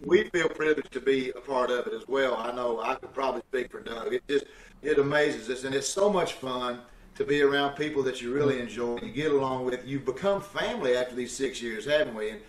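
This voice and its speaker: A man's voice: mid-range voice, brisk. A man co-hosting a community theater troupe's casual group chat over a video call. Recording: roomy, distant video call audio.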